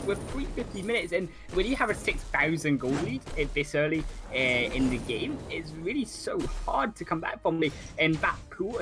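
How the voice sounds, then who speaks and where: Male color caster, analytical and halting, medium pitch, game music clearly audible behind. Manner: analytical, halting with many fillers. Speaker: esports caster. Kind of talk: color commentary.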